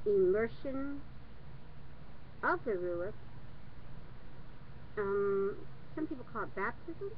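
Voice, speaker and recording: A woman speaking earnestly and preachily to a webcam, with many restarts and fillers, and nervous about being on camera. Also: medium pitch. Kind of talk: unscripted religious monologue to webcam. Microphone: webcam mic. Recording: dull lo-fi webcam audio with faint hiss.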